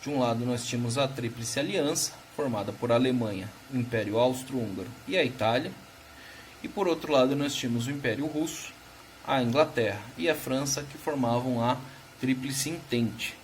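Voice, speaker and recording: A man's voice: medium pitch, normal speed, a little hiss or hum. A Brazilian man teaching a recorded history lesson, explaining patiently and often checking in with his students. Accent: Brazilian Portuguese.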